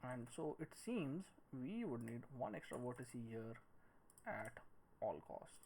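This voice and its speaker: A man in his thirties giving a screen-recorded tutorial, thinking aloud in a halting, musing way as he works. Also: medium pitch, big pitch swings, vocal fry, darkish tone. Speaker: 3D modelling tutorial presenter. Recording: noisy room with clicks and typing.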